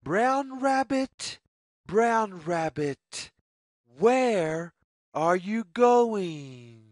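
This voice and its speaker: Read-aloud storyteller for young children: very slow, deliberate phrasing, lively sing-song intonation, mid-pitched voice. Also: no echo, studio quality.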